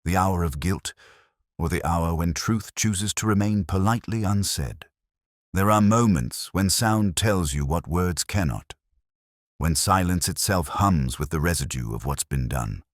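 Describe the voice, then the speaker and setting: A man narrating a scripted first-person detective mystery in a measured, solemn, literary manner. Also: low voice, no echo, clean studio sound.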